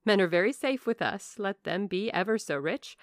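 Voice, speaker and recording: A woman voicing a composed, good-humoured genteel mother in an audiobook of a classic novel, polite and measured. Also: mid-range voice, lively intonation, no room sound, clean studio sound, lightly acted.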